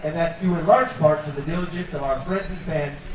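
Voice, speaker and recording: A man making formal public address announcements at an outdoor ballpark, in a measured announcing manner. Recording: booming echo from a distant PA.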